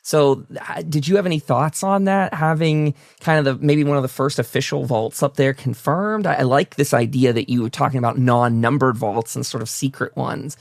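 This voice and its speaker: A man's voice: medium pitch, moderate pace, gravelly, hardly any pauses, no room sound, clean studio sound. A man hosting a casual interview, speaking in an enthusiastic, complimentary way. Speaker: talk show host interviewing a guest.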